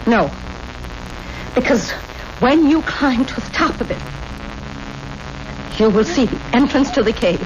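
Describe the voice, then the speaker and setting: High-voiced, animated pleading wife. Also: female voice, measured pace, pauses often. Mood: anxious. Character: earnest, pleading village wife and mother. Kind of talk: 1970s radio drama acting. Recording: muffled, noisy old radio recording.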